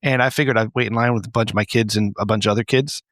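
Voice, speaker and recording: A man's voice: on the low side, fairly even intonation, very fast, a bit bright, no echo. A middle-aged man co-hosting a podcast in casual, crude, profanity-laced comedic banter. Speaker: podcast co-host.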